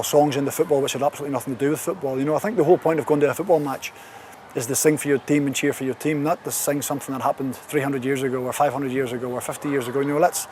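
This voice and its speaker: A man's voice: mid-range voice, a little mellow, a little hiss or hum. A man giving his opinions in an interview.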